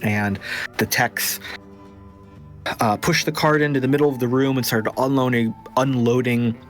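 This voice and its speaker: A man's voice: medium pitch, fairly bright tone. Man narrating as game master.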